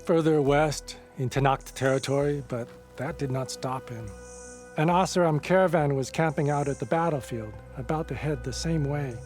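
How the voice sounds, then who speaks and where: A man's voice: fairly high pitch, normal speed, darkish tone, natural voice. Guy doing a friendly old scavenger in a game, drawling like he's telling old tales, music under it. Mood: storytelling. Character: old male desert scavenger, wry and friendly. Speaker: game character. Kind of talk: video game voice acting.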